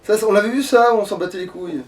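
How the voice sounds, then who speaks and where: A man's voice: high voice, rapid-fire, darkish tone. A man chatting casually and swearing in slang as he plays a video game, recorded on a slightly distant mic. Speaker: gamer playing while commenting. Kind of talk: casual let's play chat.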